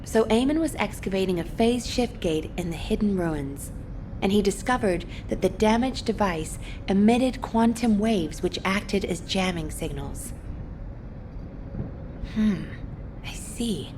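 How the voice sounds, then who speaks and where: A woman's voice: mid-range voice, lively intonation, measured pace, close to an everyday voice. Formal female operations commander played by an actress, noisy background. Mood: thoughtful. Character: composed, worried female military operations commander. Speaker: mission commander. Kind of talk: video game cutscene acting.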